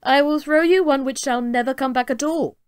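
A woman voicing a boastful, quick-witted little male tailor. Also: sits high, no echo, clean studio sound, voice pushed up, lightly acted. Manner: cocky, bright, quick-witted.

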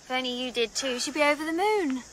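Petulant young wife, high animated voice, sulky, radio drama, chirping insects behind. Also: female voice, quick pace, noisy background. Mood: sulky, teasing. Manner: pouting, sulky.

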